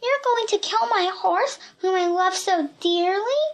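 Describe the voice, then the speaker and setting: Woman as a plucky, high-voiced little girl. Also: a little slow. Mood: pleading, dismayed. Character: plucky, cheeky little red-headed girl, unafraid of bullies.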